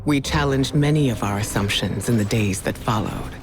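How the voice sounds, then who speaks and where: A man playing a solemn chronicler of a sci-fi war, narrating a video game in a grave, measured, portentous way. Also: fairly low pitch, natural voice, low-quality recording. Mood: grave, foreboding. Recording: voice under loud game music.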